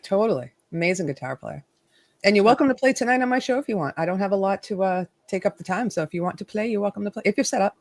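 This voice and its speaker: A woman's voice: medium pitch, fast talker, no room sound. A woman hosting a live stream, bubbly and teasing, laughing often as she chats with guests. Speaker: livestream host. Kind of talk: casual live-stream chat.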